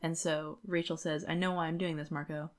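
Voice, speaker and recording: Woman, low-pitched, podcast co-host; loose, informal book chat that rambles along. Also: little pitch movement, a little fast. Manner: informal, rambling, like-heavy phrasing. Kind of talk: casual book discussion chat.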